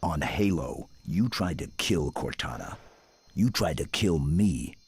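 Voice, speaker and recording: An actor voicing a stoic, terse supersoldier in a video game with a gravelly, husky voice. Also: male voice, animated, measured pace, no echo, heavily acted. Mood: cold, accusing. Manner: terse, low-key. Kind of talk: dramatic video game acting.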